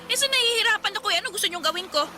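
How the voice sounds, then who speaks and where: A woman's voice: very high-pitched, expressive, racing, bright, forceful tone, a little hiss or hum, exaggerated voice. A woman playing a sullen, resentful young mother in a Filipino radio drama, whining and talking back defiantly. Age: young adult. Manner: whiny, complaining, talking back.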